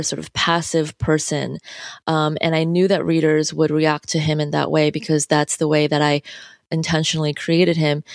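Flat, gravelly-voiced novelist in their thirties. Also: medium pitch, no room sound, clean studio sound. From United States. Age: 30s. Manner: thoughtful, loose, many fillers and asides. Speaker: novelist being interviewed. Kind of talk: reflective interview talk.

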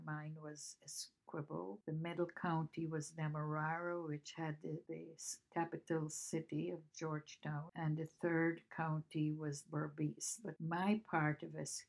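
A woman in her eighties from Guyana, a grandmother telling her life story in an interview in a thoughtful, measured way. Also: sits low, vocal fry, darkish tone, poor audio. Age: 80s. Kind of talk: reflective storytelling.

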